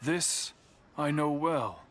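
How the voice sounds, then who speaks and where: An elderly master swordsmith, stern and formal. His voice is slightly high and quite varied in melody, with dignified phrasing in video game style over faint music. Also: lively intonation. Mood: formal, obliging. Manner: measured, formal, admonishing. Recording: background music under voice.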